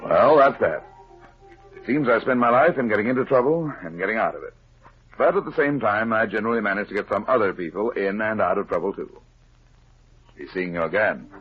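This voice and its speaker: An actor playing a shrewd private detective in a 1950s radio drama, laying out a case emphatically. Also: male voice, on the low side, lively intonation, a little fast, natural voice. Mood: wry, resigned. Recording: muffled vintage radio recording, music behind.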